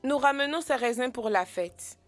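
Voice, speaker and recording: Highish, reassuring young cartoon animal. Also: female voice, animated, moderate pace, no room sound, faint music behind. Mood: friendly. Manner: encouraging, reassuring. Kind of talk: French children's cartoon dialogue.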